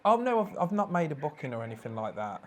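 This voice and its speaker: Hesitant deadpan man, noisy recording. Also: fairly high pitch, fast. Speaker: prank caller. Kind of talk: casual phone prank chat. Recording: noisy phone prank call recording.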